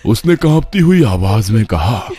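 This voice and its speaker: A man's voice: lowish voice, very animated, unhurried, warm tone, husky, dry recording. A man narrating a scripted horror story as its storyteller.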